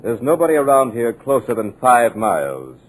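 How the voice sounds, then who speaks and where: An actor playing a curt, secretive and commanding producer in a 1940s radio drama. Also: male voice, mid-range voice, sing-song, moderate pace, slight background noise, close to an everyday voice, low-quality recording. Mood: cold. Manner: clipped, commanding, dismissive. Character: curt, sinister male producer, secretive and controlling.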